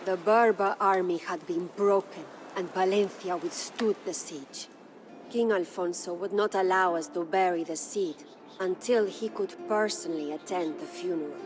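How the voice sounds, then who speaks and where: Woman acting proud grieving spanish noblewoman telling her tale, solemn and stately, game storyteller, loud music under her. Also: lowish voice, moderate pace, close to an everyday voice, rough recording. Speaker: narrator. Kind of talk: dramatic scripted storytelling. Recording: studio narration over prominent music.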